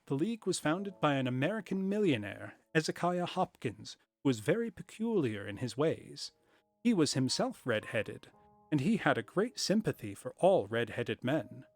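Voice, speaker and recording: An eager young shop assistant coaxes his employer, voiced by a man reading a story. He pitches up a little and talks persuasively. Faint music sits underneath.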